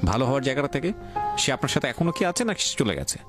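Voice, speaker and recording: A man with a Bangladeshi accent hosting a radio talk show, questioning deliberately and mixing in English words. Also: mid-range voice, sing-song. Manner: deliberate, mixes English words into his speech. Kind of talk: probing interview, addressing guest and listeners. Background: music.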